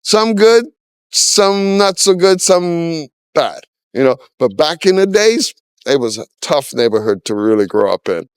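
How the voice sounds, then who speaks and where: A man's voice: fairly high pitch, very animated, measured pace, clear, resonant tone, dry recording, studio quality. A man in his sixties, originally from Trinidad and Tobago, giving candid, opinionated answers in a casual street interview, with lots of "you know.". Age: 60s.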